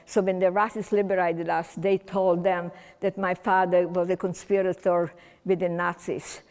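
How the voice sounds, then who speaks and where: A woman's voice: on the low side, flat delivery, normal speed, darkish tone, clear, resonant tone. An elderly woman in her eighties, a native German speaker, shares her survival story with a community gathering. Her delivery is casual and winding, full of restarts and wry asides. Her voice carries a long, ringing hall reverb. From Romania. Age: 80s. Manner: conversational and meandering, with frequent restarts and wry humor. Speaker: Holocaust survivor speaking at a remembrance event. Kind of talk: personal testimony talk to an audience.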